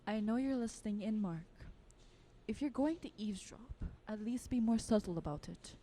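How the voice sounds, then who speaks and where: A woman's voice: mid-range voice, moderate pace, mellow, slight background noise, close to an everyday voice. Actress as a condescending, scheming vampire noblewoman. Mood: cold, commanding. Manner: condescending, drawling, teasing. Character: scheming, taunting female vampire aristocrat, condescending and amused. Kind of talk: audio drama acting.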